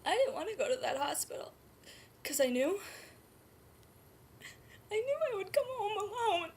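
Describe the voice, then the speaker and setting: A woman performing a dramatic stage monologue as a grief-stricken, guilt-ridden nineteen-year-old mother, speaking haltingly and often in a breathy hush. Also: sits high, lively intonation. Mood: anguished, tearful. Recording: noisy amateur room recording.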